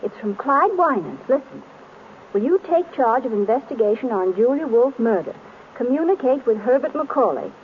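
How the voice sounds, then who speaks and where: An actress playing a spirited, teasing detective's wife with a playful, arch manner, in 1930s radio drama style. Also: female voice, a little high, animated, moderate pace, close to an everyday voice. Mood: bright, playful. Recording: noisy muffled vintage radio recording.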